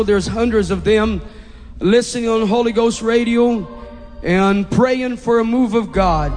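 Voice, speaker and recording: A man preaching a fervent Pentecostal sermon in careful English, his first language being Portuguese, often rising to shouts. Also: high voice. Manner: halting, non-native English phrasing. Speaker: pastor. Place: large church hall. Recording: reverberant hall, faint music behind.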